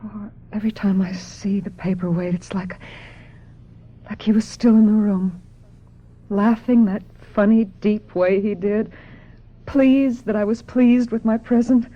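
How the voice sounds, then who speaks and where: An actress playing a wistful, troubled young female star in a radio drama, with a dreamy, breathy, dramatic delivery. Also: medium pitch, steady tone, measured pace, warm tone, close to an everyday voice. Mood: wistful, girlish. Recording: dull lo-fi radio, music behind.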